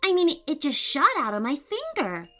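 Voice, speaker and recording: An eager, awestruck young boy from a children's storybook , acted by a woman, exclaiming in a high voice that swoops about. Music plays behind the voice. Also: wildly swooping pitch, moderate pace, fairly bright tone, full character voice. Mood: startled. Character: excitable young boy, awestruck comic-book fan.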